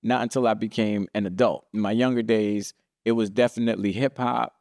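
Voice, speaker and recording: A man, co-hosting a podcast in casual, animated chat. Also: fairly low pitch, a little fast, no room sound, clean studio sound. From Brooklyn, New York. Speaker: podcast co-host.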